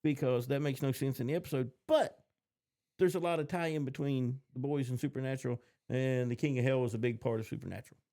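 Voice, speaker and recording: A man's voice: mid-range voice, steady tone, fairly quick, mellow. A man co-hosting a podcast, chatting casually and bantering about a television show. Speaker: podcast co-host. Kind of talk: casual banter and TV episode discussion.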